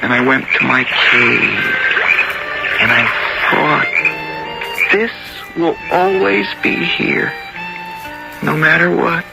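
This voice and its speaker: A man playing an earnest, sensitive sixteen-year-old American boy who loves nature, speaking haltingly and plainly in a radio drama. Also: a little high, lively intonation, vocal fry, exaggerated voice, low-quality recording. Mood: reflective. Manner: halting, repeats words, plainspoken and boyish. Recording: old radio recording, music behind.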